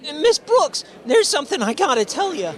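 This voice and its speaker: A woman's voice: high voice, big pitch swings, a little fast, a little hiss or hum. A woman playing an eager, gossipy teenage schoolboy in a radio comedy, with hesitant starts and exclamations. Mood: excited, astonished. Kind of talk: old-time radio comedy acting.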